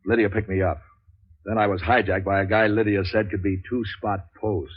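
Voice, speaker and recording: An actor playing a world-weary, wisecracking troubleshooter in a 1940s radio noir, clipped and deadpan. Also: male voice, lowish voice, moderate pace, natural voice. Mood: weary, irritable. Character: cynical, world-weary hardboiled male private troubleshooter, wisecracking. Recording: dull 1940s radio recording.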